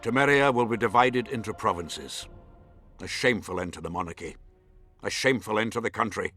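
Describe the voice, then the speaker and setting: A man's voice: lowish voice, brisk, sharp tone, faint music behind. A man playing a haughty, scornful nobleman in a fantasy video game, with imperious, formal diction. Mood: stern, haughty. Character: haughty scornful nobleman, baron vying for a throne. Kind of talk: dramatic fantasy game acting.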